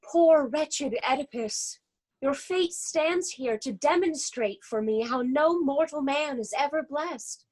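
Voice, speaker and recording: Slow, high, mournful chorus voice of a woman. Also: a little mellow, low-quality recording. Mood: mournful, solemn. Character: mournful Theban elder of the tragic chorus. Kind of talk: solemn theatrical verse reading.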